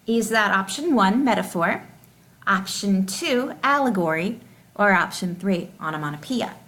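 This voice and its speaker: A woman with an average-pitched voice reads out a test like a teacher. Her delivery is deliberate and enunciated, with the numbered questions and their options kept clearly separate. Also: lively intonation, fairly bright tone. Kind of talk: reading test questions and answer options aloud.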